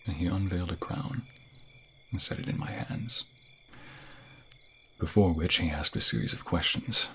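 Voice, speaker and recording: A man's voice: sits low, normal speed, pauses often, sharp tone, slight background noise. A man playing an affectionate, flirtatious fantasy king in an intimate ASMR roleplay, murmuring tenderly and often slipping into whispers to a secret lover. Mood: intimate, solemn.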